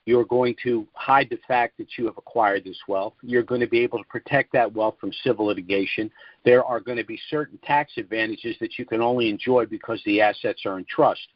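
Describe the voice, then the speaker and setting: A man presenting financial commentary on a conference call, explaining in a deliberate, formal way with some hesitations. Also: medium pitch, no room sound. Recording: dull, dry lo-fi conference call audio.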